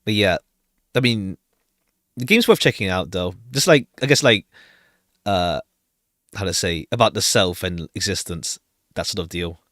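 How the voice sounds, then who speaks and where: A man's voice: deep voice, lively intonation, normal speed, pauses often, a bit bright, no echo, studio quality. A man streaming a game with casual commentary, rambling hesitantly and repeating phrases as he goes. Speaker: live streamer. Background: faint jazzy game music.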